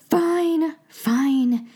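An actress playing a wry, dramatic broadcaster on a remote watchtower in an audio drama, with a wordy, theatrical delivery. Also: female voice, high voice, dark tone. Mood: melodramatic. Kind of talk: audio drama acting with storyteller monologues.

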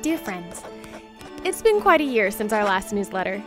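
A woman's voice: mid-range voice, big pitch swings, normal speed, music in the background, natural voice. A woman playing a chatty, wry young bride narrating her holiday newsletter in an audio drama.

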